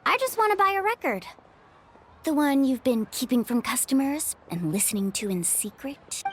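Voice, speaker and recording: A woman's voice: sits high, lively intonation, normal speed, slightly rough. A woman playing a confident, playful young adventurer in a video game, speaking in a breezy, casual way. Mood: upbeat. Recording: music playing behind the voice.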